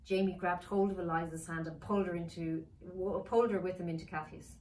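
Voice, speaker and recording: A woman's voice: on the low side, fairly even intonation, brisk, darkish tone. Woman reading a story in an echoey room. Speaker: author reading her novel. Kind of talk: dramatic storybook narration. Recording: roomy, distant mic with faint noise.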